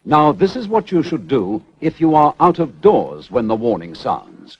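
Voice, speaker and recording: A British man reading a 1970s public information film script in a measured, formal, instructional way. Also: on the high side, sing-song, husky. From United Kingdom. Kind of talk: scripted narration, 1970s civil defence announcement. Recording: dull, band-limited 1970s film soundtrack.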